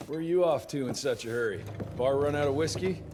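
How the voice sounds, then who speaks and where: An actor playing a tough, sardonic vampire hunter, curt and no-nonsense, in a video game cutscene. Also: male voice, medium pitch, fairly quick, a little mellow, a little hiss or hum, natural voice. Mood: wry. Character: blunt, wry, tough male vampire hunter.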